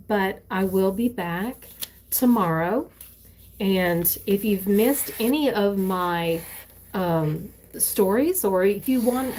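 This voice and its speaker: A woman's voice: mid-range voice, warm tone. An older woman, reading her own stories and chatting casually on a live stream, rambling with frequent fillers and restarts, recorded on a tablet. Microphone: tablet used for live streaming.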